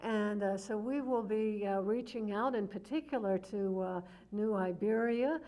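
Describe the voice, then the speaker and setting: Community TV host, female, medium pitch, cordial, stumbles over her words. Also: a little slow. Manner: warm-hearted, hesitant, many uhs. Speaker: talk show host. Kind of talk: community TV interview.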